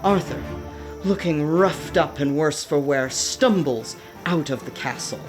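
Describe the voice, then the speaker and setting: A woman's voice: low voice, lively intonation, unhurried. A woman reading scripted narration for a radio play, describing the action as narrator. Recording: music behind the narration. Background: background music.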